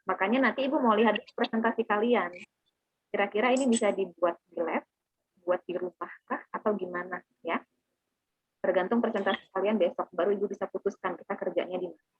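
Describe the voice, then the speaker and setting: Lady teacher, kinda husky, explaining stuff and checking everyone gets it, tinny online call sound. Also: mid-range voice, fairly quick, dry recording. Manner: explanatory, often checking understanding. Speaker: teacher giving a class briefing. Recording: narrow phone-like online call audio.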